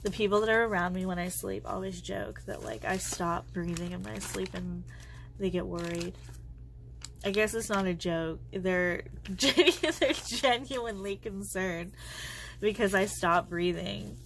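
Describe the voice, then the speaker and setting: Stuffy-nosed woman rambling slow about her planner, mid pitch voice, crafting at home. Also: creaky, a bit bright, slight background noise. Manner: rambling, chatty, trails off. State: congested. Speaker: planner vlogger. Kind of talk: casual chat while crafting.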